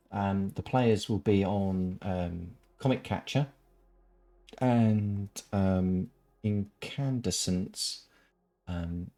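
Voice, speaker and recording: Low-voiced man casting hesitantly. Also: warm tone. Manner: halting, many ums, laughs often. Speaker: esports caster. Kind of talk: live game commentary.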